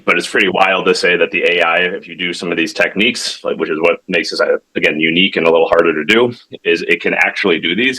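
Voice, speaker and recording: A man giving an informal product demo as a tech founder, talking in a halting, conversational way with self-corrections and frequent check-ins. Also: sits low, pushed and bright, rough recording. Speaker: tech company founder demonstrating his product.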